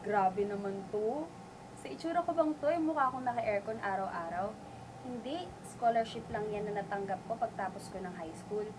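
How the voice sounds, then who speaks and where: A woman playing an earnest young provincial student and affectionate daughter in an amateur radio drama, reassuring and gently teasing. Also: medium pitch, moderate pace, noisy background, lightly acted. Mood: friendly, candid.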